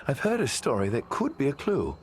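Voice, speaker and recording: An actor playing a friendly but uneasy resistance scout in a video game, hesitant and conversational. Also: male voice, medium pitch, huge pitch swings, brisk, slight background noise. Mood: uneasy, curious.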